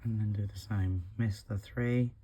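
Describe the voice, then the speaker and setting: A man with a husky voice from a recent flu, calmly talking through a beading tutorial step by step while he works. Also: on the low side, unhurried. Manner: calm, counts beads aloud, talks while working. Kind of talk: step-by-step craft instruction.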